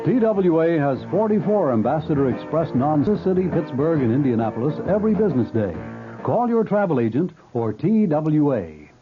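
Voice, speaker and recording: A man's voice: on the high side, sing-song, moderate pace. A man announcing a scripted 1970s radio commercial with punchy, emphatic selling. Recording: tinny old radio broadcast with music.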